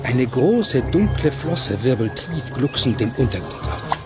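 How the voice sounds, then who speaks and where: A man narrating a children's audio play in a measured, suspenseful storytelling manner with clear articulation. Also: medium pitch, very animated, over loud music. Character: suspense-building male storyteller.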